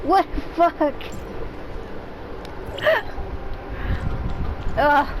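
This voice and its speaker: A woman's voice: high voice, expressive, drawn-out words. Blunt, sweary woman gamer over noisy background. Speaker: gaming streamer. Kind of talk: casual gameplay commentary.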